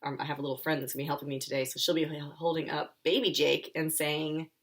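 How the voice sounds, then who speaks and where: A woman's voice: sits low, level tone, quick pace, fairly bright tone. An American woman, a children's author, chatting informally and reading her picture book aloud for young listeners. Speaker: children's author and school counselor.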